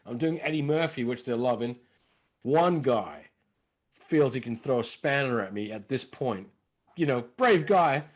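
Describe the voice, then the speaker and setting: A male comedian telling stories in a casual interview, lively, acting out the other people's lines. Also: mid-range voice, unhurried. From lives in North London. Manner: animated, acts out dialogue in put-on voices. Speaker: comedian and impressionist, guest.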